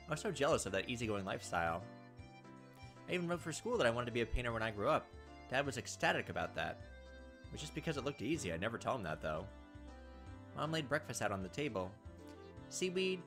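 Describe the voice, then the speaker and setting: Man reading a visual novel aloud over music. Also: mid-range voice. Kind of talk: narrative reading with casual asides. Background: game music behind the voice.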